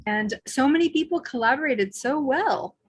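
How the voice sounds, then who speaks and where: A woman co-hosting an online panel while losing her voice, talking in a warm, effusive, rambling way on a video call mic. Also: sits high, expressive, moderate pace. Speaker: event co-host. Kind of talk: casual online panel talk.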